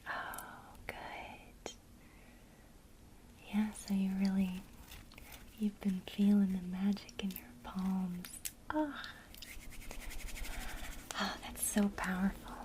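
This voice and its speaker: A woman whispering an ASMR witchcraft lesson, gently encouraging a listener she addresses as her student. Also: medium pitch, fairly even intonation, slight background noise, poor audio. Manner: speaks to the listener as a student, pausing for imagined replies.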